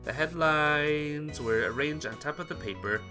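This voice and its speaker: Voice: medium pitch, measured pace, pushed and bright, faint music behind. A let's player reading a visual novel aloud as dramatic narration, occasionally stumbling and correcting the wording.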